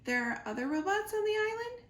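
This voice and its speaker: A woman's voice: very high-pitched, very animated, brisk, pitched above the performer's own voice. A woman voicing a young gosling son in a children's storybook, impatient and emotional, with a whiny, protesting manner. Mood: curious, insistent. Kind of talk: children's storybook read-aloud. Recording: roomy echo, noticeable background noise.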